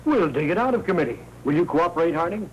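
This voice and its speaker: Man acting a middle-aged blustering boss, American, fast, animated, indignant, 1940s film dialogue, slightly noisy soundtrack. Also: fairly high pitch, muffled recording, natural voice. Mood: determined. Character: blustering, indignant newspaper boss, soft on his niece.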